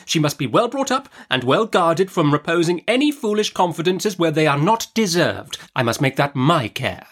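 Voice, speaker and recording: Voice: medium pitch, very animated, normal speed, few pauses, a bit bright, voice pushed up. A narrator voicing a brusque, imperious older great-aunt in an audiobook, emphatic and commanding. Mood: firm, insistent.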